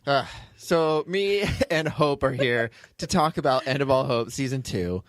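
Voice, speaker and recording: A man's voice: medium pitch, lively intonation, measured pace, no room sound. Dry-sounding man chatting casually on a podcast. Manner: dry teasing. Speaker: podcast host, audio drama writer and producer. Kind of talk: casual joking podcast chat. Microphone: close podcast microphone.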